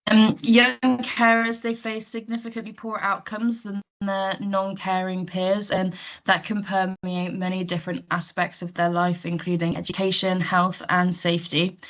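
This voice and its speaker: A woman presenting slides in an online meeting, her voice croaky from illness, informal with hesitant fillers. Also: medium pitch, level tone, moderate pace, fairly bright tone, poor audio. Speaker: guest presenter.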